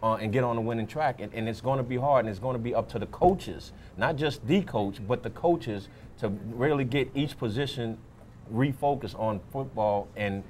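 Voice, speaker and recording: A man's voice: medium pitch, normal speed, darkish tone, slight background noise. A man giving conversational football analysis as a fill-in co-host, restating his points for emphasis. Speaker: sports show guest co-host.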